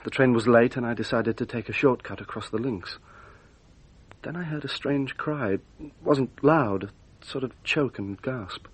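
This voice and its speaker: An actor playing a remorseful, anguished young man accused of murder in a radio drama, with a husky voice. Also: mid-range voice, normal speed, natural voice.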